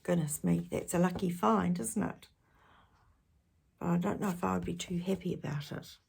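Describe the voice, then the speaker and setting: A woman's voice: on the low side, moderate pace, vocal fry, darkish tone, slight background noise. A woman storyteller reading aloud to children expressively, with chatty asides and questions to her listeners.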